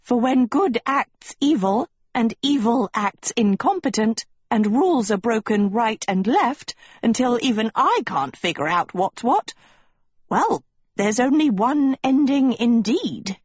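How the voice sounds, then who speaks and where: A woman's voice: fairly high pitch, animated, unhurried, breathy edge, dry recording, pitched above the performer's own voice. A woman voicing a stern, pompous male gnome teacher in an audiobook, with formal, declamatory delivery. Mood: disapproving.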